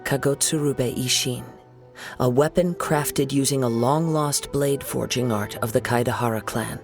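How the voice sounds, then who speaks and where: A man plays a young wandering swordsman in a video game. The voice is mid-pitched with a clear creaky grain, and the delivery is gentle, measured and contemplative, with formal phrasing over faint music. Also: natural voice. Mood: polite, resolute.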